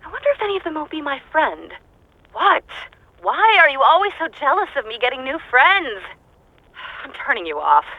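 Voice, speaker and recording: A lonely woman scientist coming unhinged, dictating a game audio log in a dry, clipped, detached way with flashes of manic glee. The sound is dull and lo-fi, with a flat, dry close-mic feel. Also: sits high, normal speed, no echo. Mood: erratic. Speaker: scientist recording audio logs.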